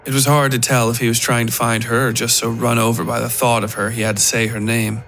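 A man reading a scripted dark fiction story as the narrator of a horror podcast. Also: mid-range voice, gravelly.

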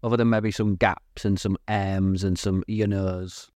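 A man's voice: deep voice, little pitch movement, measured pace, darkish tone, no room sound. Chatty man, dry close sound. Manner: chatty, laughs often, trails off mid-sentence. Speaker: podcast co-host. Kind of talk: casual chat. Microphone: podcast mic.

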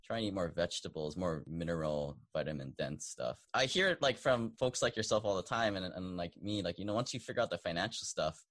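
A man with a low voice chats easily as a podcast guest. His tone is relaxed and he often laughs between thoughts. The sound is dry. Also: fairly quick, hardly any pauses, no room sound. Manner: laid-back, chatty, laughs often. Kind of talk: casual podcast chat.

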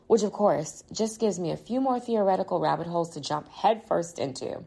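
A woman's voice: lowish voice, expressive, a little fast, gravelly, mellow, husky. A woman doing a dramatic reading of a listener's email as a guest reader.

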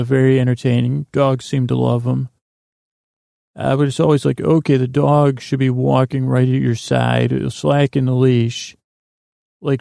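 Gravelly, droning man rambling at bedtime. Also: medium pitch, mellow, no room sound, clean studio sound. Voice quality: lulling, soothing tone. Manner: meandering, hesitant, halting with stammered repeats. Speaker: podcast host. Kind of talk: rambling bedtime monologue to lull listeners to sleep.

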